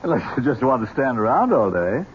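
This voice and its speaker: Man playing a genial, very animated teasing uncle. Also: mid-range voice, a little fast, natural voice. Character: Uncle Harry, genial, teasing, sporting older relative. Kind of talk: 1970s radio drama acting. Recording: muffled, faintly noisy old radio recording.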